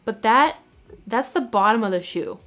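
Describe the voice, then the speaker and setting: A woman's voice: on the high side, expressive, moderate pace, a bit bright. A young woman in her twenties chatting casually to her camera, recorded at home and then in a parked car, talking in a chatty, slightly self-conscious way with lots of fillers. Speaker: vlogger. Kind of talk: casual vlog chat. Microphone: camera on-board mic at arm's length.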